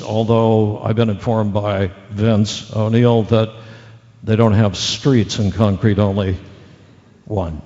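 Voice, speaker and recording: An actor playing a bumbling, chatty local radio news anchor who reads bulletins in a halting, folksy way with rambling asides. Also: male voice, lowish voice, dark tone, big-room sound, dull audio. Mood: wry, rambling. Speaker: radio news and weather reader.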